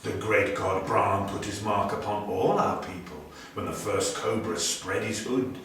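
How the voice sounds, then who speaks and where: Guy doing a big evil cobra for a storybook, slow n menacing, really echoey, bit far from the mic. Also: on the low side, steady tone, fairly bright tone. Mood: proud menace. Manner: slow, drawn-out, deliberate menace. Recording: very echoey room, distant, faint noise.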